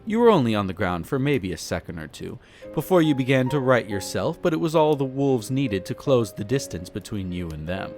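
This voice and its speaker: Man acting suave vampire noble, richly expressive. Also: mid-range voice, fairly quick, faint music behind. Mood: amused storytelling. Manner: theatrical, leisurely storytelling with teasing, haughty, formal wording. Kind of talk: roleplay audio drama monologue addressed to the listener.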